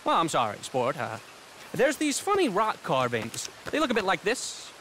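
A young male actor playing an eccentric, flustered, excitable young gentleman with old-fashioned slang in a video game. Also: sits high, normal speed, slight background noise, heavily acted. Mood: nervous, apologetic. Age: young adult. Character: eccentric, jittery, slang-talking young gentleman, lost and scheming. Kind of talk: video game character acting.

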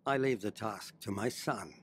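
A man's voice: on the low side, moderate pace, a bit bright, close to an everyday voice. An actor playing a proud, driven conqueror king in a video game, solemnly and theatrically reading out his journal. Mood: weary, solemn. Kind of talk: dramatic in-character journal narration. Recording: clearly noisy ambient game background. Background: game ambience.

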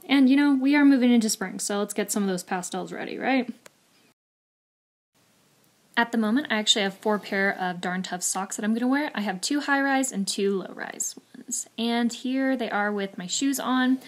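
A young woman in her twenties chatting casually over her video as she walks through her hiking clothes. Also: medium pitch. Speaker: vlogger. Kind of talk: voice-over gear walkthrough.

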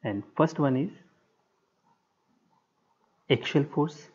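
A man giving a structural engineering lecture over a whiteboard screen recording, speaking in short, halting, measured phrases. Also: a little high, darkish tone, slightly rough. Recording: dull lo-fi sound.

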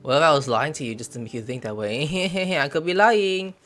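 A man's voice: on the high side, normal speed, fairly bright tone. A young man giving casual game playthrough commentary, musing aloud and reacting as he plays. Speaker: gaming streamer. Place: indoor room at home, webcam stream. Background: game music.

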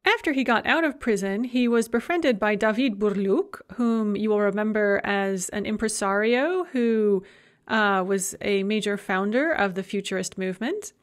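A woman's voice: mid-range voice, normal speed. A middle-aged woman giving a recorded university lecture in a conversational way, with frequent filler sounds.

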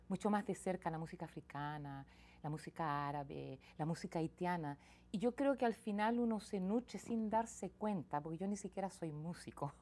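Soft-spoken woman, lower voice. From Chile. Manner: reflective, soft-spoken, with frequent restarts. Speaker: guest singer-songwriter. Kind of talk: casual interview conversation. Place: small TV studio set. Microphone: lapel mic.

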